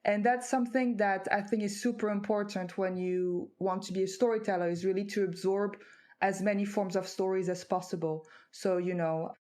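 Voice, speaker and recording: A woman from France whose first language is French, talking as an interview guest. Her voice sits in a middle pitch, and her delivery is reflective and unhurried. She pauses on fillers as she gathers her thoughts. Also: fairly even intonation, darkish tone. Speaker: guest author. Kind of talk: conversational interview.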